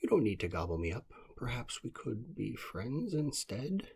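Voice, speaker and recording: A gentle storybook groundhog, acted out by a man reading aloud, pitched up from his natural voice. The little animal is polite and cheerful, reasoning persuasively in a slightly husky tone. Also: big pitch swings, moderate pace, full character voice. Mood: pleading, sighing.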